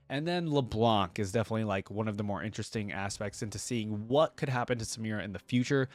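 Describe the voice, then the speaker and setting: A young man talks through his reactions in a casual, rambling way. He is keen and chatty, with a medium-pitched voice. The recording is dry and free of room sound. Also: no room sound. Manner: rambling, enthusiastic, frequent "like". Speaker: reaction video host.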